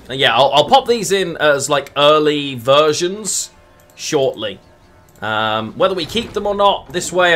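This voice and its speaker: Bright-voiced English man streaming a game. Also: medium pitch. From England. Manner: thinks aloud, mutters fillers, answers chat. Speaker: streamer playing a card game. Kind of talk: casual live-stream chat while playing.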